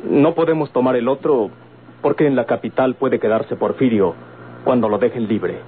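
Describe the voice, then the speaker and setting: An actor playing a courteous, composed gentleman with a Mexican accent and formal, measured manner in an old radio drama. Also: mid-range voice, close to an everyday voice. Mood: calm, reassuring. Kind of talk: golden-age Mexican radio drama acting. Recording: tinny, noisy old radio recording.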